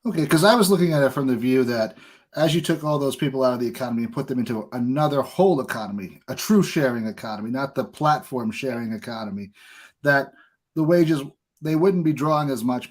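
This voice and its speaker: Male interviewer, medium pitch. Earnest, discursive podcast talk with firm opinions. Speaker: podcast host.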